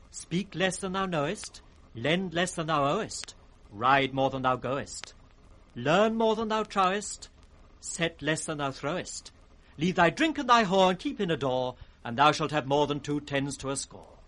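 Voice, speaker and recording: An actor playing a witty, impudent court fool in radio Shakespeare, with a sing-song, riddling delivery. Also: male voice, fairly high pitch, animated, unhurried, pushed and bright. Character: witty, mocking court fool, teasing the king. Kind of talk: 1960s British radio Shakespeare acting, with sung rhymes. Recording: vintage 1960s radio drama recording.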